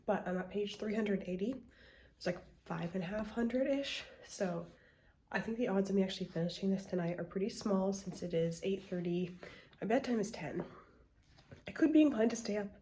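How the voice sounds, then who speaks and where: A woman's voice: medium pitch, dark tone, slight background noise. A young woman talking casually in a reading vlog, chatty and loose, with lots of filler words and asides. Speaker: book vlogger.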